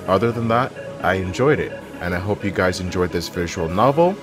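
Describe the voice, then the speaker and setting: A man's voice: sits low, animated, brisk. A man doing casual game commentary and reading aloud as a video host, close on the microphone. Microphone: microphone on a stand, close to the mouth. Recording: music playing behind the voice.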